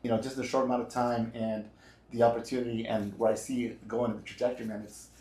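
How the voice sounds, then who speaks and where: A man hosting a casual interview show, energetic and loose, often ending lines with "man" and "right?". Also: mid-range voice, quick pace, reverberant, rough recording. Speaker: podcast host.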